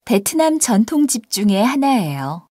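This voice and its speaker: Lively, mellow-voiced woman reading dialogue. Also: on the high side, a little slow, dry recording, studio quality. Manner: clear, careful enunciation. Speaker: textbook audio voice actor. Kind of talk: scripted textbook dialogue reading.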